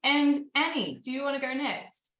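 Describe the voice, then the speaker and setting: A woman's voice: high-pitched, animated, normal speed, fairly bright tone, roomy sound. Upbeat Australian woman moderating. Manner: chatty, upbeat, frequent ums and light laughs. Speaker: panel moderator. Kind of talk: online panel discussion.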